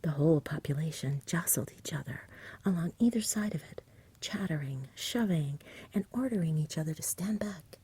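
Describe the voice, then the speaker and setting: Breathy whispering woman reading a story. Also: low-pitched, expressive. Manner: slow, gentle, hushed delivery. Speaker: reader, ASMR host. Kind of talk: soft-spoken ASMR storybook reading.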